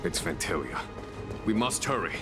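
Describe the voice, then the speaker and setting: A man playing a composed, courteous and wise commander in a video game, with formal, measured speech. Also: low voice, big pitch swings. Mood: calm, advisory. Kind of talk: video game character acting. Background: music.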